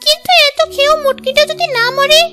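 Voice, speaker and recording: A woman voicing a snide, greedy, spiteful younger sister in a cartoon, with a squeaky delivery. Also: fairly even intonation, a little fast. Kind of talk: Bengali cartoon dub. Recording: music behind the voice.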